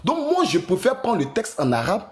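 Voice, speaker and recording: A man making his case as a debate panelist. His voice is fairly high and swings widely in pitch. He stumbles, repeats words and restarts his sentences. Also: brisk. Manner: lively, hesitant, repeats himself. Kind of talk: online debate talk.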